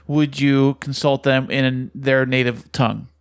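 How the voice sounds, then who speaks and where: A man's voice: medium pitch, level tone, a little slow, smooth. A man co-hosts a casual podcast, asking curious questions and cracking jokes with a few hesitant fillers along the way. His voice is dry and close-miked, with no echo around it. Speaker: podcast co-host.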